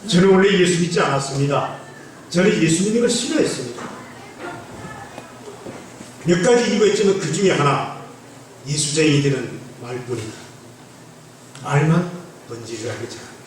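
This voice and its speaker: A man's voice: on the high side, animated, moderate pace, pauses often, a little hiss or hum. A man from Andong with a slight North Gyeongsang accent, a guest pastor giving a playful sermon that teases the congregation. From Andong, South Korea. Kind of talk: joking testimony sermon. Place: church sanctuary. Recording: echoey church from a distance.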